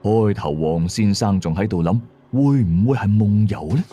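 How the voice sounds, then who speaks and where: A man with a Hong Kong Cantonese accent tells a horror story in the first person, in a suspenseful, colloquial, expressive way. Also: fairly low pitch, normal speed, slightly rough. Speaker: ghost story narrator. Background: music behind the voice.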